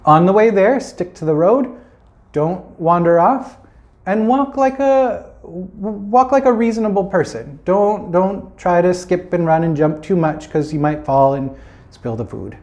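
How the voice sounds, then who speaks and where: A man's voice: sits high, expressive, measured pace, a little mellow, highs cut off. A man voicing a caring, cautioning mother in a children's story, instructing firmly.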